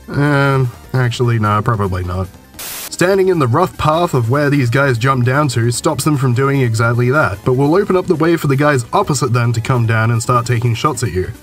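A man hosting a video game show in scripted, dry and sarcastic comedic narration, his voice a little rough. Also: medium pitch. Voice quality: a little rough from a recent illness. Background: game music under the voice-over.

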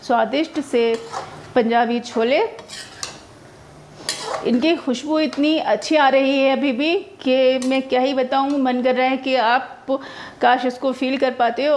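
A woman's voice: sits high, steady tone, measured pace. A woman narrating her own cooking video step by step, chatty and homely, explaining each step as she cooks in her home kitchen. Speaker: cooking vlogger.

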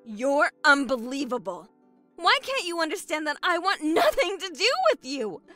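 An actress playing a bitter, defiant survivor of a killer in an audio horror drama, curt, guarded and sarcastic. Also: female voice, high-pitched, very animated, normal speed, pushed and bright, no echo, soft background music, exaggerated voice. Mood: furious, exasperated.

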